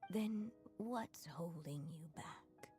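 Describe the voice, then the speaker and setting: Slow, gentle storybook witch mother. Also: on the low side, animated. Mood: gently resigned. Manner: tender, solemn, measured. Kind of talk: dramatic video game acting. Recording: music behind the voice.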